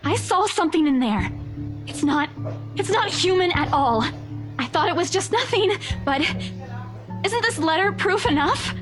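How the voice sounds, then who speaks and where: A scared young agent in a game, high voice that jumps all over, kinda pleading, music soft behind. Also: female voice, expressive, moderate pace, long pauses. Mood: urgent. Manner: anxious, pleading. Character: frightened, insistent young real estate agent. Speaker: character in a visual novel. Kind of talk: game voice acting. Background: faint game music.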